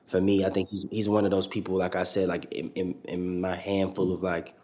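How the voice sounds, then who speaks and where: A young man with a low, deep voice that stays flat and even as he talks. He rambles loosely through a casual interview, swearing freely and leaning on filler words. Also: brisk. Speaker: rapper guest.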